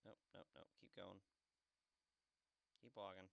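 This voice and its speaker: A man with a lowish, gravelly voice chats through a game as its host. His delivery is dry and casual, recorded close with no room sound. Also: little pitch movement, unhurried, no echo. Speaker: gamer, let's play host.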